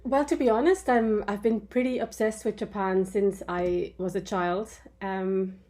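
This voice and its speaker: A woman from Switzerland answering interview questions in a relaxed way, thinking aloud with the odd filler. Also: mid-range voice, measured pace. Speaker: interview guest. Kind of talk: casual conversational interview answers.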